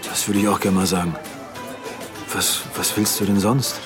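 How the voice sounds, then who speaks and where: An actor playing a blunt, defensive middle-aged police detective in a radio drama. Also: male voice, on the low side, little pitch movement, normal speed, natural voice. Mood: defensive, uneasy. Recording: music clearly behind the dialogue.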